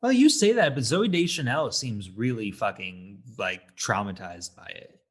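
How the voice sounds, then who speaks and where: A man's voice: fairly low pitch, animated, unhurried, breathy edge. A man co-hosting a movie review podcast, talking in a casual, opinionated way. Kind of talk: casual film discussion.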